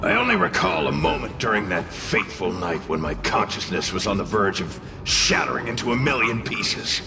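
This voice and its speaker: An actor playing a grumpy, sarcastic spirit in a video game, gravelly and theatrical, with haughty disdain. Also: male voice, on the low side, sing-song, moderate pace, over loud music. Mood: wistful, brooding.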